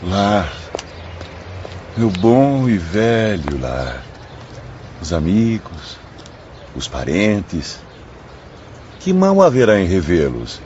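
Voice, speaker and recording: A wistful, regretful spirit in a radio drama. He muses slowly in a low voice with expressive rises and falls, on a slightly dull recording. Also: exaggerated voice. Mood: nostalgic. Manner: musing, sentimental. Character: wistful, nostalgic male spirit, gentle and remorseful. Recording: dull lo-fi audio, faint background noise.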